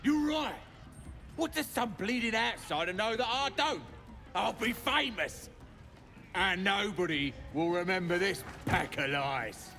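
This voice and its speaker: An actor playing a dim, boastful goblin in a video game, chatting in a rough, rambling British voice. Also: male voice, high voice, animated, a little slow, pushed and bright, soft background music, exaggerated voice. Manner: colloquial, rambling. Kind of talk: video game character acting.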